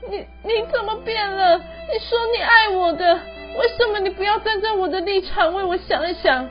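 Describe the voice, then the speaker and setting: A woman's voice: very high voice, moderate pace. A teenage girl playing a proud, emotional young city wife who feels wronged, in an amateur radio drama with melodramatic delivery. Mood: hurt, pleading. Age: teens. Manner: melodramatic, code-switching English words into speech. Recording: muffled lo-fi recording with background music.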